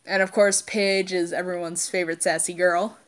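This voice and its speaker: A woman's voice: lowish voice, little pitch movement, normal speed. A woman with an informal, unpolished delivery who stumbles now and then, reading stories aloud and chatting to her listeners as an amateur channel host. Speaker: amateur fan fiction reader and channel host.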